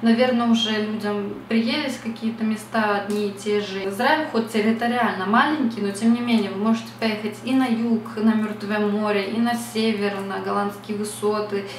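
A woman's voice: medium pitch, steady tone, normal speed, few pauses, reverberant, distant mic, a little hiss or hum. A young woman from Russia talking casually to camera at home, reflective and chatty, with many fillers. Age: young adult. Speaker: beauty vlogger. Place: small bright room at home.

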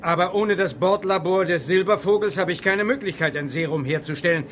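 Measured, high-voiced professor. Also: male voice, fairly bright tone, a little hiss or hum. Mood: explaining. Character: kindly, thoughtful older scientist professor. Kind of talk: German audio drama acting.

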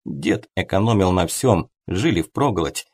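A man's voice: sits low, expressive, a little slow, husky. A man reading a scripted first-person story as an audiobook narrator.

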